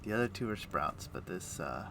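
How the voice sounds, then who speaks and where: Guy on a casual gaming stream, lots of vocal fry, kinda muttering to himself, noisy background. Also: low voice, moderate pace. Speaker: game livestreamer. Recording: steady background noise.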